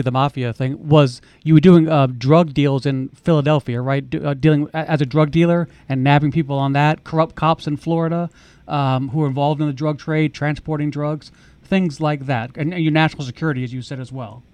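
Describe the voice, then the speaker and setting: A man's voice: a little high, fairly quick, a little mellow, no room sound. A man hosting a radio interview program, polite and hesitant, with frequent fillers as he introduces and questions his guest. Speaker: radio show host.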